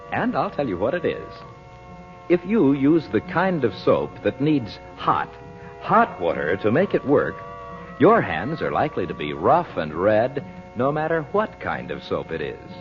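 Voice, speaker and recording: A male radio announcer giving a polished, persuasive advertising pitch in an old-time style. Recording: muffled old radio recording.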